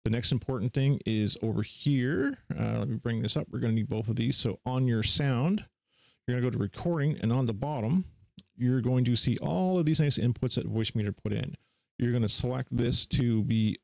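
A man's voice: mid-range voice, gravelly, darkish tone, no echo. Dry-sounding man giving casual tech instructions. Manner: informal, instructional, step by step. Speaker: tutorial host. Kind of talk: casual unscripted screen-share software walkthrough.

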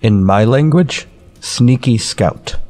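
A man's voice: medium pitch, expressive, takes it slowly, darkish tone. A man playing a stoic, kindly rescuer in a radio western, speaking in terse broken sentences. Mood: solemn. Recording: long cavernous reverb.

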